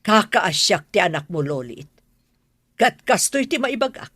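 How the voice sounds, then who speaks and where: A woman's voice: medium pitch, big pitch swings, measured pace, a bit bright, dry recording, clean studio sound. A woman with an Ilocano accent playing a stern, exasperated but caring middle-aged mother in a radio drama.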